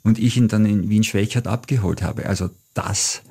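A middle-aged man speaking German with an Austrian accent. His voice is deep and has a rough, gravelly edge with a mellow, dark tone. He answers interview questions reflectively, weaving in travel anecdotes. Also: moderate pace. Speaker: travel author, guest.

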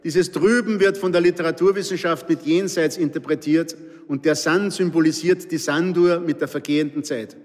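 A man's voice: fairly high pitch, steady tone, moderate pace, darkish tone, big-room sound. A man from the Pinzgau region with an Austrian accent, reading his own story aloud with dry, wry humor. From Pinzgau, Salzburg, Austria.

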